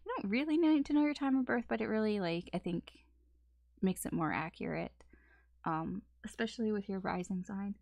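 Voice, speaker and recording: A woman's voice: mid-range voice, normal speed, creaky, darkish tone, no echo. A woman giving a casual weekend tarot reading, rambling along with lots of fillers. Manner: rambling, filler-heavy talk. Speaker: tarot reader.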